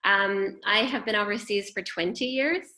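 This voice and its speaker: Bright-voiced woman in an echoey room. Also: medium pitch, normal speed. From Phoenix, Arizona. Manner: upbeat, reflective, self-deprecating. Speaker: head of school, panelist. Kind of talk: webinar panel remarks. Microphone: video call.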